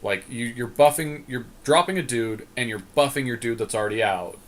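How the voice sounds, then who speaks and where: A man co-hosting a podcast, casually commentating on a card game draft and reasoning out loud. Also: mid-range voice, fairly quick, slight background noise. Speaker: podcast co-host.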